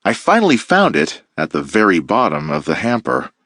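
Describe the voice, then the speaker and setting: A man teacher reading a children's picture book aloud with playful, expressive storytelling. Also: fairly low pitch, normal speed, no echo.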